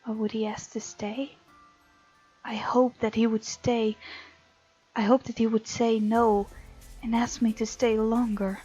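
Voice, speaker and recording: A woman's voice: on the high side, little pitch movement, measured pace, pauses often, warm tone, breathy edge, dull audio. A woman hosting a visual novel let's play, reading the game text aloud expressively and adding chatty reactions. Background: game music.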